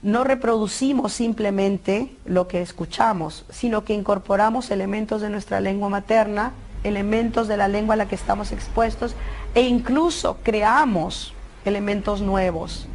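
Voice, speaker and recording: A woman expert giving a calm explanation, with occasional "em" pauses. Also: medium pitch. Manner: calm, with "em" fillers. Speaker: linguistics expert.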